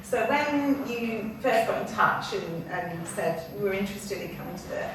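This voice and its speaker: A woman's voice: medium pitch, animated, normal speed. A woman from a museum's collections side explaining its history at a gallery talk, with frequent hesitations. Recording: distant echoey mic with noise.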